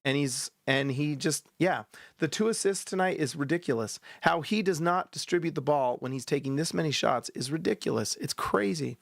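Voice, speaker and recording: A man hosting a post-game basketball show, ranting bluntly and heatedly with a sore, hoarse throat. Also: on the high side, moderate pace, no echo, clean studio sound. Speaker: sports podcast host.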